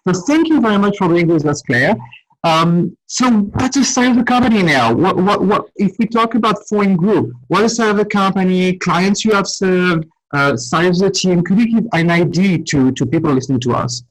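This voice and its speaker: A man hosting a business interview podcast, speaking haltingly with frequent restarts and self-corrections. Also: a little high, rough recording. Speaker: podcast host.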